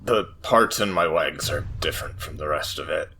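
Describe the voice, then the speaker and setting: Low, bright-voiced man, halting phrasing. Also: slight background noise. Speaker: tabletop game player. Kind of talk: casual in-game narration.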